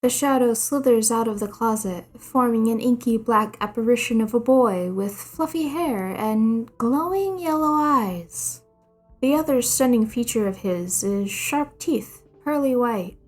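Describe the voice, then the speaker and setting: A woman's voice: on the high side, lively intonation, a little slow, darkish tone, faint music behind. A woman reading a scripted story aloud as narrator. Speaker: fan fiction narrator.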